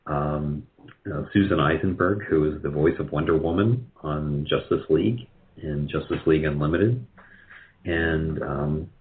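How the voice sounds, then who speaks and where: Dull lo-fi sound, very low male voice, casual interview guest, hesitant, lots of filled pauses. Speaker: radio drama producer, interview guest. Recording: muffled, lo-fi call audio.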